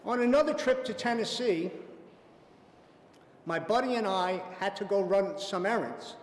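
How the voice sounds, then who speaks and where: A man telling a personal anecdote at a theater event, warmly amused and lingering on the punchlines. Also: high voice, a little slow. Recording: echoey hall, faint ambience.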